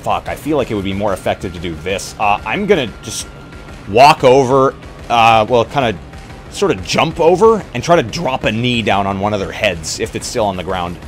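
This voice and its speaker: Male roleplay player, lowish voice, casual and sweary, reasoning aloud, light music in background. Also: lively intonation, normal speed. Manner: sweary, reactive, thinking aloud. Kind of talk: casual game chat.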